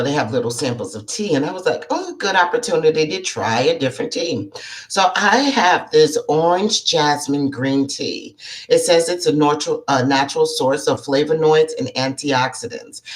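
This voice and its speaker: A woman's voice: low-pitched, lively intonation, moderate pace. A woman hosting a casual live-stream chat as a psychic life coach, her voice froggy from allergies, chatty and greeting viewers by name.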